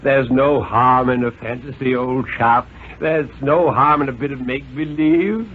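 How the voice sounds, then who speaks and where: A man's voice: medium pitch, steady tone, a little slow, breathy edge. A man playing an overbearing, condescending father who lectures his small son in a pompous, emphatic way, in a 1950s radio reading. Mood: patronizing. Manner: pompous, insistent, jolly-patronizing, emphatic. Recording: tinny, noisy 1950s radio recording.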